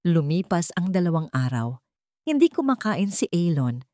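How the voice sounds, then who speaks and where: A woman with a Filipino accent narrates a dramatic story in a lowish voice. Her delivery is scripted storytelling for an audio drama. The sound is dry, with very little room in it. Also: sing-song, normal speed, mellow, dry recording.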